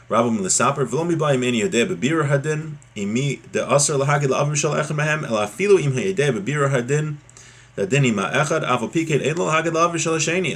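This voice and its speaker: A man with a medium-pitched, slightly rough and husky voice gives an informal religious lesson. He speaks as a teacher, in a conversational, explanatory manner. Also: a bit bright. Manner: conversational, explanatory, checks in with "right?". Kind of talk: informal religious lesson, reading a text aloud then explaining it.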